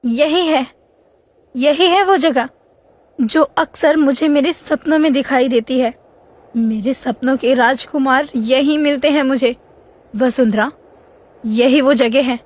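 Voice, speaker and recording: A wistful young fairy-tale princess, speaks very high and slowly in long hall reverb. Her delivery is plaintive, drawn-out and dramatic, full of lovesick longing. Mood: excited. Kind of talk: animated fairy-tale voice acting.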